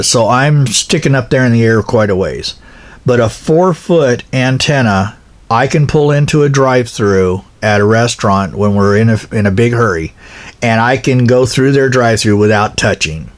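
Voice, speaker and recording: A man with a medium-pitched voice gives informal, practical instruction. He speaks in a folksy, unscripted way, wandering through his points. His delivery halts often as he backs up and rephrases. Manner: rambling, folksy, halting with self-corrections. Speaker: pilot car operator giving equipment advice.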